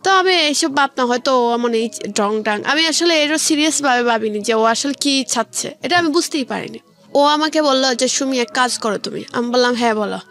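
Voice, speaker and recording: Young female radio guest, Bangladeshi accent, high voice, colloquial personal storytelling, light music bed underneath. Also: normal speed, a bit bright. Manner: colloquial, recounts conversations as direct quotes. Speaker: guest telling her life story. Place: radio studio. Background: background music.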